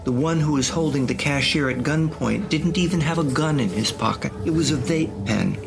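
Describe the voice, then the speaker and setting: A man's voice: fairly high pitch, normal speed, gravelly, music in the background. A man narrating an audio drama from a script, describing the action in the present tense.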